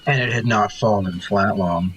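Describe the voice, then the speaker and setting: A man playing a blunt, sardonic nobleman in a Shakespeare audio drama, with a husky voice and a needling edge. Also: on the low side, moderate pace, fairly bright tone, a little hiss or hum, close to an everyday voice. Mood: mocking.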